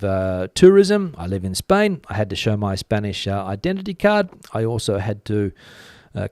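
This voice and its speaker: A man's voice: medium pitch, a little mellow, no room sound, studio quality. Man giving casual, musing live commentary. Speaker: host of a live commentary stream. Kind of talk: casual news commentary, reading out viewer comments.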